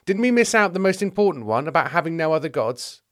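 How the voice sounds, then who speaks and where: A man's voice: fairly high pitch, big pitch swings, quick pace, fairly bright tone, voice pushed up. A man playing an impatient, sarcastic rich young man in a comedy sketch. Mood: exasperated. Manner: sarcastic, pushy.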